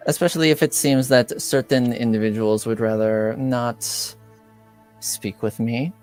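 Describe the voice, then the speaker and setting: A man voicing a formal, guarded woman in her forties in a tabletop roleplaying game, with stiff, measured phrasing. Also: mid-range voice, steady tone, darkish tone, soft background music, natural voice.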